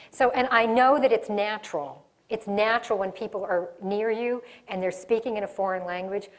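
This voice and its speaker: A middle-aged woman giving a live workshop in a conference room, talking with her hands and often restarting her sentences. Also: medium pitch. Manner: animated, gestures a lot, restarts sentences. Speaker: diversity workshop presenter. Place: conference room in front of a projection screen.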